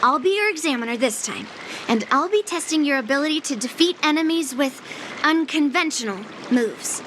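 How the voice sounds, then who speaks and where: A woman playing a composed young female sword examiner in a video game, speaking in a measured, instructive way. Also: high-pitched, animated, noisy background. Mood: calm, instructive. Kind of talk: video game cutscene acting.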